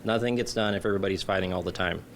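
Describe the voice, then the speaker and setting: A man with a lowish, flat voice speaks plainly and steadily. He sounds measured, with faint noise in the background. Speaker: city council candidate. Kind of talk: news interview soundbite.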